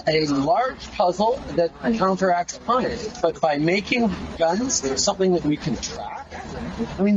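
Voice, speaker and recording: High-voiced man in a dull lo-fi clip.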